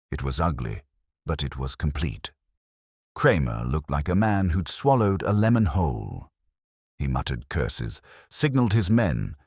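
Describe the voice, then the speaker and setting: A man narrates a detective story in an extremely low voice. His pitch moves around in a lively way as he reads the scripted first-person prose. The sound is dry. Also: breathy edge, no room sound.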